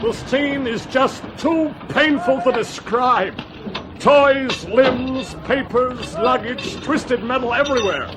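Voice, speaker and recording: An actor playing a florid, emotive disaster reporter in a radio drama. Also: male voice, extremely high pitch, slow, a crowd in the background. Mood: distraught, dramatic. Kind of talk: radio drama acting as on-scene news report.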